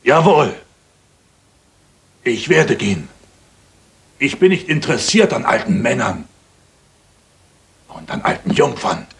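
An actor playing a coarse, pushy rich suitor in a radio play, insistent and leering, with a rough husky voice. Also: male voice, mid-range voice, sing-song, slow pace, pauses often, exaggerated voice. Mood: scornful. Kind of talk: dramatic German radio play acting.

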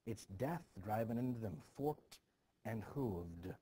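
A man's voice: lowish voice, lively intonation, slow, dark tone, slightly rough, exaggerated voice. A man reading the part of a grieving, superstitious village mother in a stage play, ominous and intense, in archaic phrasing.

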